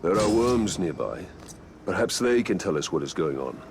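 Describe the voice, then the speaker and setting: Deep, grave fantasy warrior. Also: male voice, very animated, moderate pace. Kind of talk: video game character acting. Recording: long reverb, faint background noise.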